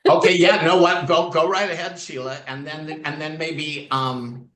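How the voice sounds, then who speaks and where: A man's voice: fairly high pitch, steady tone, normal speed, a bit bright. A man hosting an online interview, recorded in an echoey room with faint background noise. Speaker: host.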